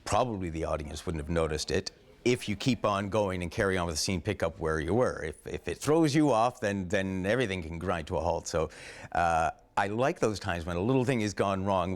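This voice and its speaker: A man's voice: low-pitched, fairly quick. A man who performs radio theatre, talking casually in an interview.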